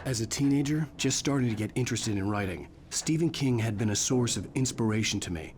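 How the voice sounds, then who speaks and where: A man's voice: medium pitch, animated, brisk, a little mellow, close to an everyday voice. A man playing a brooding, wry writer who narrates his own ordeal in a video game. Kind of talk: first-person scripted game narration. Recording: faint ambient noise behind.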